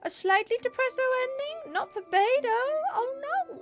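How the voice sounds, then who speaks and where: A woman's voice: very high-pitched, lively intonation, normal speed. A woman giving casual live-stream game commentary, chatty and playful as she muses aloud. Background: game music.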